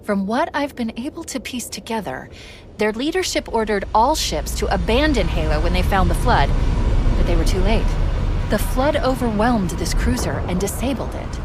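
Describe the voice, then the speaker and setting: Figure: a woman voicing a quick-witted female AI. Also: medium pitch, natural voice. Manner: brisk, technical, urgent briefings. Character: quick-witted female AI construct, urgent and capable. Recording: voice over loud game score.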